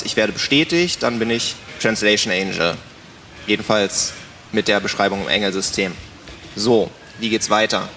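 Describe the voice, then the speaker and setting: A man's voice: fairly low pitch, a bit bright, slight background noise. Man moderating in a reverberant hall. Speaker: panel moderator. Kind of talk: unscripted informal moderation.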